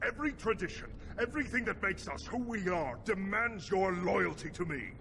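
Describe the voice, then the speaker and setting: A man's voice: sits high, expressive, normal speed, vocal fry, background noise. A man playing a proud, outraged dwarven prince in a fantasy game, speaking in a formal, commanding, haughty manner. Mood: furious, commanding. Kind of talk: dramatic fantasy game acting.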